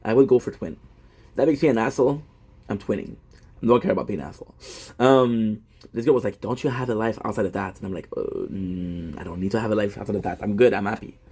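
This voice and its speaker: Man, 30s, content creator. Low-ish, husky; rambling and self-deprecating, stumbles and restarts often. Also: quick pace, a little mellow. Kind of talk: casual rambling monologue.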